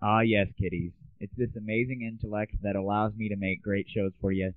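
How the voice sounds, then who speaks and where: A young man with a lowish voice hosts a comedy audio show in a cocky, sarcastic monologue style. The recording is dry and very narrowband, tinny like an old web stream. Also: fairly even intonation, brisk, no room sound. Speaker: host of an internet audio show. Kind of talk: scripted comic monologue. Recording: tinny, band-limited lo-fi audio.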